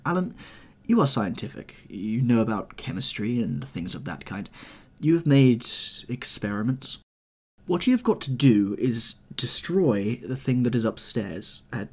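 A man voicing a desperate young gentleman who pleads urgently and tensely, in an audiobook reading. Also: medium pitch, husky.

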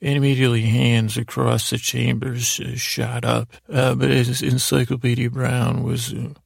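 Man, very gravelly fry, flat even intonation, meandering bedtime storyteller, halting, mild and friendly, absurd digressions. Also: mid-range voice, dry recording, clean studio sound. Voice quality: gravelly, creaky. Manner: meandering, halting, repeats and trails off. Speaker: podcast host telling bedtime stories. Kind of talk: rambling improvised bedtime storytelling.

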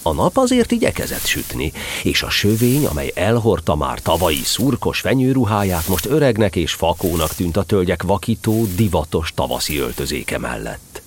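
A man narrating a children's story as a scripted, expressive storyteller. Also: low voice, a little hiss or hum.

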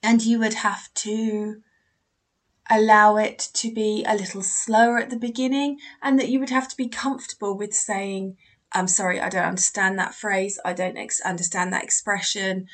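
British lady podcaster chatting away, friendly rambling answers, middle-pitched voice. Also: flat delivery, moderate pace. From Britain. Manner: chatty and apologetic, self-deprecating. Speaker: podcast host, mediumship teacher. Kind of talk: casual podcast monologue answering a listener.

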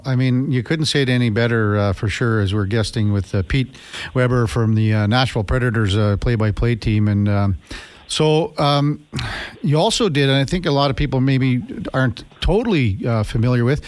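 A man's voice: mid-range voice, normal speed, dry recording. Eager young male radio host. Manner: enthusiastic, many fillers and restarts. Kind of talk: radio interview, asking questions.

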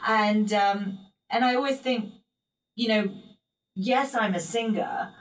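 A woman guest talking thoughtfully in an interview, pausing with fillers and restarting her sentences. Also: mid-range voice. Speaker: guest singer. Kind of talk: reflective interview talk. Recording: roomy and distant from the mic.

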